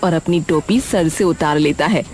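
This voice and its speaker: A woman's voice: low voice, normal speed, darkish tone, a little hiss or hum. A woman narrating a scripted story as its storyteller.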